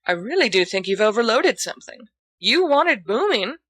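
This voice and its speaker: A woman's voice: on the high side, sing-song, moderate pace, a bit bright, no room sound, pitched above the performer's own voice. A woman voicing a mild, dry-witted teenage schoolboy with an understated teasing manner in an audiobook story.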